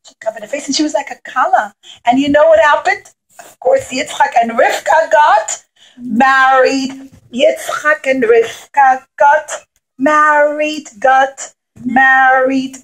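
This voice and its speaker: A woman telling a puppet-show story to young children in simple, repetitive phrases, as an animated storyteller. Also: high voice, poor audio.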